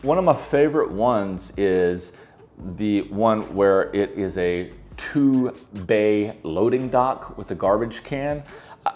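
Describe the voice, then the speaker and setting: A middle-aged man with a somewhat low-pitched voice speaks casually to camera like a hobby reviewer. His delivery is conversational and unscripted, picked up indoors by a small clip-on mic. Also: lively intonation, slow pace, a little mellow. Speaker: film photography vlogger. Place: indoor living-room style office, seated on a sofa.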